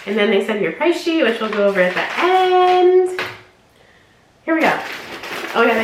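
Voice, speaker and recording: Young woman, stuffed-up nose, bubbly rambling vlog chat, higher voice, echoey room, faint clicks. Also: background noise. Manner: bubbly, rambling, laughs often. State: stuffed up from allergies. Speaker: fashion unboxing vlogger. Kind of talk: casual chatty vlog.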